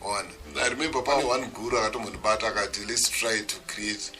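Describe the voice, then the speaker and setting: Zimbabwean man, low voice, mic far off. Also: steady tone, quick pace, sharp tone, faint music behind. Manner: mixes English and Shona mid-sentence, explanatory. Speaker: music producer giving a seminar. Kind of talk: WhatsApp voice-note lecture. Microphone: phone.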